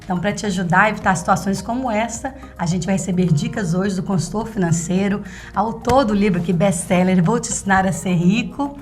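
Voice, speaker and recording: A woman's voice: medium pitch, brisk, hardly any pauses, soft background music. A woman with a Brazilian accent presenting a podcast as host, chatty and conversational, speaking directly to listeners and her guest.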